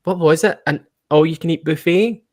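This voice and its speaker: A Scottish man hosting a casual live chat in an excitable, chatty manner. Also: a little high, normal speed, no echo, studio quality. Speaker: podcast host and audio drama producer.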